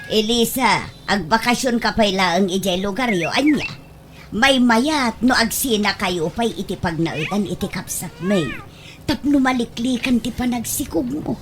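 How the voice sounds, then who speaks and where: An actress playing a frank, scolding elder sister in an Ilocano comedy radio drama. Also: female voice, on the high side, expressive, a little slow, noisy background. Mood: firm, advising.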